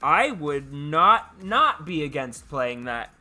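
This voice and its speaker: High, bright-voiced chatty male streamer. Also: big pitch swings, slow pace. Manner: chatty, playful, repeats words and phrases. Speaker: live streamer. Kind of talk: casual chat with live stream viewers. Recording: music playing behind the voice. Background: background music.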